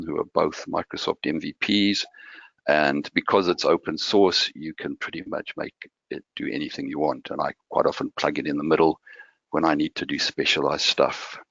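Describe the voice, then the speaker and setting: A man from Malawi giving a guest technical presentation over a remote call, explaining in a relaxed way with some restarts. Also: rumbling low, vocal fry, dry recording. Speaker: guest speaker. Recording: dull lo-fi audio.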